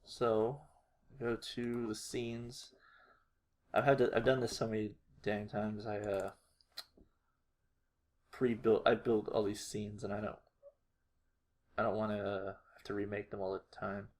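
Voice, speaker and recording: A man's voice: fairly low pitch, flat delivery, a little slow, pauses often, darkish tone. A man narrating a casual tutorial, hesitant and unrehearsed, thinking aloud as he works. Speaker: animator, video creator.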